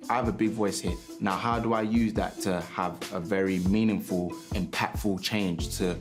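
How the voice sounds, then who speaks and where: Deep-voiced male boxing coach over music. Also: steady tone, moderate pace. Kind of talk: documentary interview. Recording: music bed underneath.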